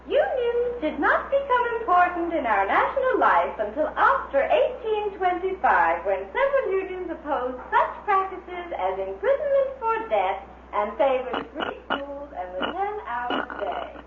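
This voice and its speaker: A woman's voice: very high voice, big pitch swings, a little slow, few pauses. A woman lecturer formally reading out a speech over a public address system. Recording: tinny, noisy, echoing vintage PA recording.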